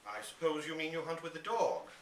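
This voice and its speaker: A man voicing a flustered, proper gentleman landowner from a storybook, indignant and demanding, trying to sound authoritative. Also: a little high, lively intonation, a little fast, pitched above the performer's own voice, close to an everyday voice. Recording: roomy, distant live recording with hiss.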